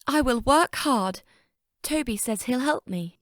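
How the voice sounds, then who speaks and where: A polite lady railcar from a children's railway story, played by a woman. She speaks in a fairly high voice with an earnest, well-mannered air, recorded very dry. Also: animated, measured pace, fairly bright tone, no echo. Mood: humble, eager.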